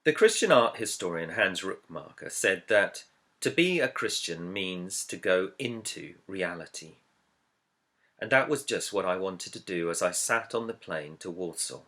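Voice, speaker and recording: A man, an author, reading his own book introduction as scripted narration in a measured, earnest, reflective way. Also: deep voice, expressive, fairly bright tone. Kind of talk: scripted audiobook-style narration.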